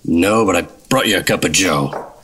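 An actor playing a terse, deadpan 1950s government secret agent in a noir radio drama. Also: male voice, deep voice, normal speed, noisy background, lightly acted. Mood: cool, serious.

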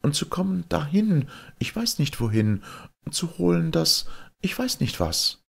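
High, breathy fairy-tale wife voiced by a man. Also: very animated, unhurried, full character voice. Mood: gentle, calm. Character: clever, calm, devoted young wife in fairy tale.

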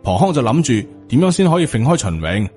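A male audiobook narrator with a Hong Kong Cantonese accent reads scripted supernatural fiction. His voice is mid-pitched, and music plays behind the narration. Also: sing-song, fast, darkish tone.

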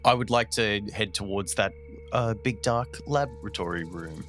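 A man's voice: on the low side, normal speed, fairly bright tone, faint music behind. A man playing a tabletop game, casually declaring what his character does. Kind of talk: casual roleplay.

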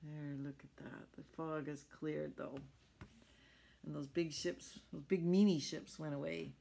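Middle-aged woman, children's read-aloud, slightly low pitch, unhurried, chatty asides. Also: fairly even intonation, gravelly, mellow. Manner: explanatory, tag questions to young listeners. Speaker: storyteller reading a picture book aloud. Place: small furnished room at home.

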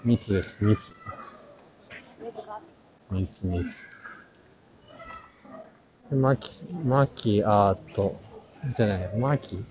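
Male vlogger, low and slow, halting casual tour commentary, muffled sound amid crowd bustle. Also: background noise. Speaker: art channel vlogger giving a walk-through. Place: large crowded indoor exhibition hall. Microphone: action camera at arm's length, face mask on. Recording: muffled audio in a busy crowded hall.

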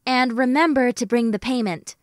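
A woman playing a brisk, businesslike young female trader in an amateur animated movie. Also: a little high, no room sound, clean studio sound, close to an everyday voice.

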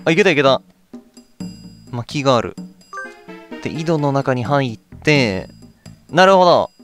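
A man's voice: medium pitch, sing-song, measured pace, fairly bright tone. A man giving casual gameplay commentary, often murmuring questions to himself as he plays. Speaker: let's play host. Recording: game music behind the voice. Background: video game music.

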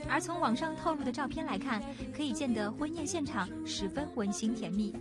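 A woman's voice: high-pitched, expressive, a little fast. A woman reporter reading scripted entertainment news narration. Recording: loud music under voice. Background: loud music.

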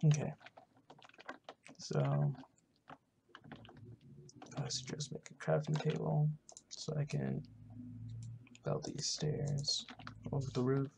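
Super croaky gamer mumbling to themself while playing, halting, noisy mic w keyboard clicks. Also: mid-range voice, sing-song. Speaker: gaming video host. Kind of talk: casual in-character Minecraft roleplay commentary. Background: background noise, keyboard typing and clicking.

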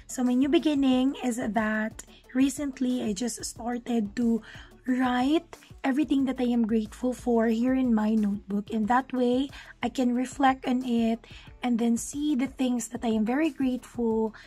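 Soft, husky woman from the Philippines. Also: fairly high pitch, fairly even intonation, unhurried. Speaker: viewer. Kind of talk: casual video message.

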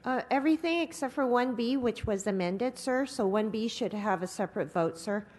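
A woman's voice: a little high, expressive, moderate pace, darkish tone. Polite clerk woman in a reverberant chamber. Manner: polite, deferential. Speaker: council clerk. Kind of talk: formal procedural report. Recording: reverberant chamber, faint noise.

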